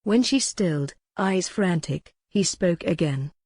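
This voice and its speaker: A woman's voice: lowish voice, animated, a little slow, a little mellow, husky, dry recording. A woman reading a historical novel as scripted, dramatic audiobook narration.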